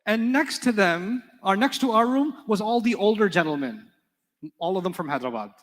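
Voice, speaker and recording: Playful, high-voiced man teaching in a hall. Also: expressive, moderate pace. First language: Urdu. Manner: playful, joking, quizzes students in call-and-response. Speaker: Arabic teacher. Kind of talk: live classroom lecture with storytelling.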